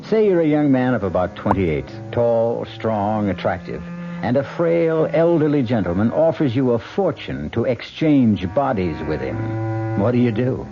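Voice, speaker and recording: A man's voice: mid-range voice, big pitch swings, measured pace. A man hosting a 1970s radio mystery, narrating from a script in a wry, rhetorical manner. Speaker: drama host and narrator. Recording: dull old radio broadcast. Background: instrumental music.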